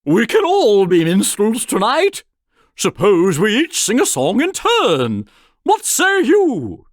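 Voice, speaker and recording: A man voicing hearty medieval woodmen in a storybook, calling out loud and jolly. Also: high voice, huge pitch swings, takes it slowly, no echo, clean studio sound, voice pushed up, full character voice. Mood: jolly, rallying. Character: hearty, boisterous medieval woodman and kitchen men.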